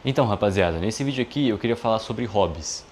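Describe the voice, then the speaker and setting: A man's voice: fairly low pitch, fast talker. Young man chatting casually, faint hiss. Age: 20s. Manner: relaxed, chatty, frequent filler tags. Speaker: video editor and YouTuber. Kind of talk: casual vlog monologue.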